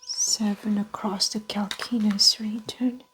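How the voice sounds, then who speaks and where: A woman whispering softly in an ASMR roleplay as a doctor, giving gentle, methodical clinical instructions and observations. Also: medium pitch, little pitch movement, a little slow, very noisy. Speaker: ASMR performer playing a doctor. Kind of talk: soft-spoken whispered ASMR medical roleplay.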